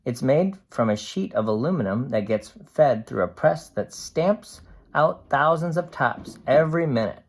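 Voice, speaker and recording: A reader voicing a cheerful, excitable aluminum can in a storybook diary, bubbly and expressive. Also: medium pitch, a little slow. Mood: gleeful. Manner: bubbly, wide-eyed, expressive storybook delivery.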